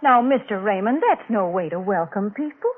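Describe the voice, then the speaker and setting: A woman giving a folksy, chatty, homey sponsor pitch in 1940s radio advertising style. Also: animated, normal speed, a little hiss or hum. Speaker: sponsor spokeswoman and co-host. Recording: tinny, band-limited old radio recording.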